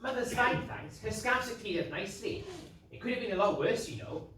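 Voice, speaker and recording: A woman's voice: fairly high pitch, lively intonation, a little fast, a bit bright. A woman plays a polite, eager, nerdy young suitor on a pantomime stage. The earnest voice moves up and down freely, distant and echoing. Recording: echoey noisy stage, far off.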